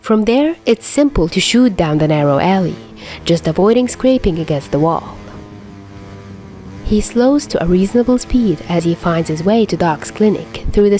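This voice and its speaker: Animated woman narrating a story, light music behind. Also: fairly low pitch. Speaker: amateur fan fiction narrator. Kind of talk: expressive scripted story narration.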